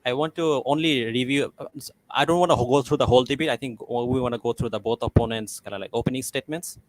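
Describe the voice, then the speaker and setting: A man hosting a casual livestream with relaxed, loose phrasing and many fillers, heard over a video call. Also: mid-range voice, fast talker. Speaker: livestream host.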